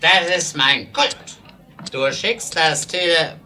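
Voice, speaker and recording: Blustering frontier bully, highish forceful voice, 1970s audio drama, slight hiss. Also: male voice, lively intonation, slow pace, exaggerated voice. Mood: bullying, impatient. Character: gruff, bullying Wild West townsman giving orders at gunpoint. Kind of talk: 1970s German radio play acting. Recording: slightly noisy 1970s record.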